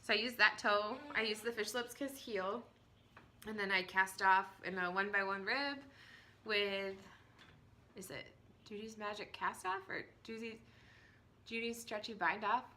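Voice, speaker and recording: A woman in her thirties hosting a knitting podcast from home, chatting casually with many ums and small laughs, her voice hoarse from a cold. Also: medium pitch, a little slow, pushed and bright, slight background noise. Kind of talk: casual chat to camera. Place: at home, indoors.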